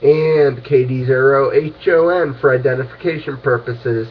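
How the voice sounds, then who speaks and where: A man's voice: mid-range voice, fairly even intonation, normal speed. A man talking casually as an amateur radio operator over a two-way radio. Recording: scratchy, muffled ham radio transmission.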